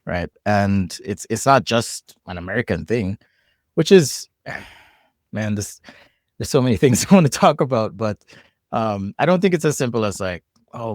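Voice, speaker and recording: A man's voice: on the low side, darkish tone, dry recording, studio quality. A man from Jamaica with a Jamaican accent, talking reflectively as a podcast guest, often restarting and repeating words as he thinks. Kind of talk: casual conversation.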